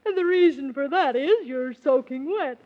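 High-pitched cheeky little imp. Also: female voice, animated, moderate pace. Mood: contrary, smug. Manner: rhyming nonsense patter, contrary. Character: cheeky, argumentative little round ugly imp, male. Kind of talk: 1930s children's radio serial acting. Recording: muffled old 1930s radio recording.

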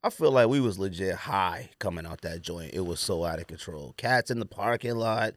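A man's voice: deep voice, lively intonation, normal speed, no echo, clean studio sound. An American man hosting a podcast, chatting casually and jokingly in slangy speech about sports and sneakers. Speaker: podcast host.

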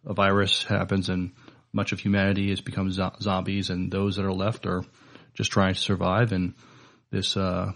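Low, gravelly man speaking haltingly. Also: completely flat delivery, a bit bright. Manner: halting, hesitant delivery. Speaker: podcast co-host. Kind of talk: casual movie chat.

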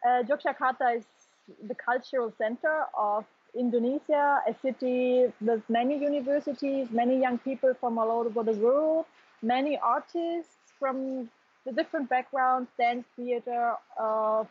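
Husky, high-voiced German woman, muffled call. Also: fairly even intonation, unhurried. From eastern Germany. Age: middle-aged. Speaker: podcast guest. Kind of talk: conversational interview answers. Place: half-open office in tropical rain. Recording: dull, muffled remote call.

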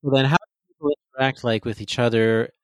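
A man hosting a podcast, asking questions in a relaxed, chatty way with frequent "you know"s. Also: mid-range voice, quick pace, no room sound. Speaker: podcast host. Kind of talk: casual interview.

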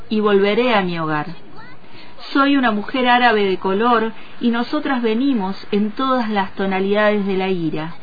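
A woman radio host, reading a translated poem in a measured, deliberate way. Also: medium pitch. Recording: dull lo-fi radio sound.

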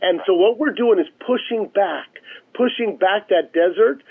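A man speaking as an interview guest over a phone line, pitching his organization emphatically and repeating phrases for effect. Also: a little slow, breathy edge. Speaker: charity chief executive, interview guest. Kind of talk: promotional radio interview talk. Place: remote call into a radio show. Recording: narrow, tinny phone line.

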